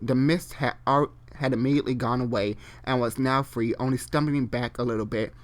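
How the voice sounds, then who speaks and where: A mid-pitched host reads a story dramatically. The delivery stumbles over the text and keeps veering into ranting asides, exasperated and full of swearing. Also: fairly even intonation, brisk. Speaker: host doing a dramatic reading.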